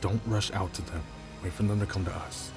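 A man acting a cornered, anxious informant in an audio roleplay, pleading and explaining tactics urgently in a husky voice. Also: low voice, fairly even intonation, brisk. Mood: tense, wary. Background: music.

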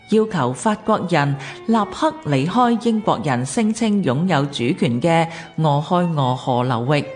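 A woman with a Hong Kong accent reading scripted documentary narration in a measured, formal manner. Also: fairly low pitch, darkish tone.

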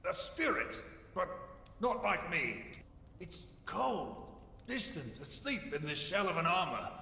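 Creaky, high-pitched old ghost grandpa. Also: male voice, sing-song, takes it slowly, pushed and bright, a little hiss or hum, full character voice. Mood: musing. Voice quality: creaky elderly voice. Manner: theatrical, teasing, chuckling old-fashioned talk. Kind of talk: video game character acting. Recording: reverberant hall echo.

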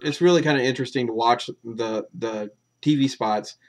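Relaxed male co-host, halting. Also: medium pitch, soft background music. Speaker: movie review show co-host. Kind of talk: casual review chat.

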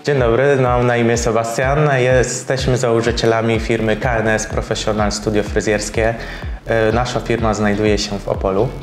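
A man in his thirties, a salon co-owner, speaking in a measured, slightly formal way with small filler pauses in a sponsored interview. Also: medium pitch, level tone. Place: bright hair salon, indoors. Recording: roomy salon with soft background music.

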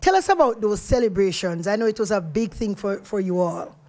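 A woman's voice: mid-range voice, animated, a little fast, a little mellow. A woman hosting a radio interview from a studio, encouraging her guest with short affirmations. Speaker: radio show host. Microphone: studio mic up close.

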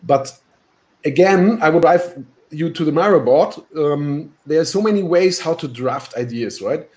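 A man's voice: on the high side, unhurried, a little mellow. A man giving a webinar presentation over a video call, explaining step by step with frequent "right?" tags and fillers. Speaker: workshop presenter.

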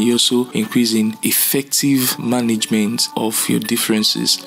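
A man presents a prepared seminar invitation in a medium-pitched, gravelly voice. His delivery is very even, and faint music sits behind him. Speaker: relationship seminar speaker. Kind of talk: prepared promotional talk to camera.

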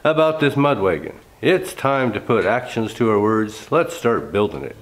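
A man in his fifties, hoarse from a cold, giving a folksy, easygoing how-to talk in a large cluttered workshop. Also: lowish voice, expressive, moderate pace, faint music behind. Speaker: coach builder.

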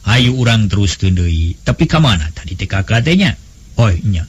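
Calm noble elder brother for a storybook tale, performed by a male narrator, Sundanese accent, low, husky, soothing counsel. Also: moderate pace. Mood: calm, advising. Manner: soothing, advising.

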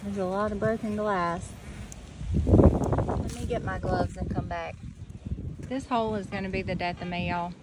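A woman talks to her viewers in an easy, chatty way while she digs in an outdoor churchyard. She coaxes each find along as she goes. Loud outdoor noise runs under her voice on the phone recording. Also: mid-range voice, steady tone, measured pace, long pauses. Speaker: metal detecting vlogger. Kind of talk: casual narration while digging. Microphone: phone camera held or mounted on the body.

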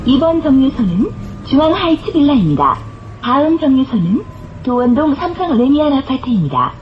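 High-pitched woman making formal bus announcements. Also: big pitch swings, normal speed, muffled recording, music in the background. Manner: formal, carefully enunciated. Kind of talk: scripted public transit announcement. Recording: clear vehicle noise throughout. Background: bus interior noise.

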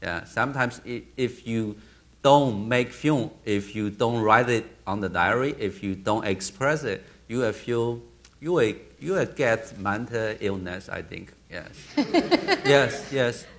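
A man in his thirties with a Burmese accent, a film director answering audience questions on a theater stage, halting and often restarting as he searches for words. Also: on the low side, a bit bright. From Myanmar.

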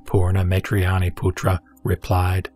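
A man gives a scripted, dramatic reading of scripture in a measured, solemn, instructive manner. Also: low voice, monotone, darkish tone. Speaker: narrator and reader of scripture. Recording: background music behind the voice.

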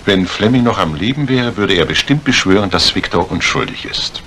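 Very deep-voiced, probing doctor. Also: male voice, expressive, normal speed, bright, forceful tone. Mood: courteous, probing. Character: probing, courteous male family doctor. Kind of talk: retro German radio crime drama acting. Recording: noisy old radio recording.

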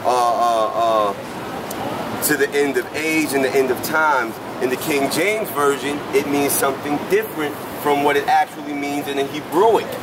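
An American man in a conversational street debate, explaining his points in a measured way. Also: fairly high pitch. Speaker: passer-by debating with preachers. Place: outdoors on a city street. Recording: loud outdoor street noise.

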